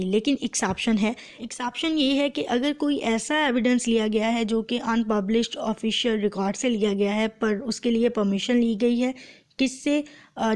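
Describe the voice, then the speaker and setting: A woman's voice: medium pitch, darkish tone. A woman with a Pakistani accent giving an explanatory law lecture, methodical and didactic, translating formal text phrase by phrase and restating each point.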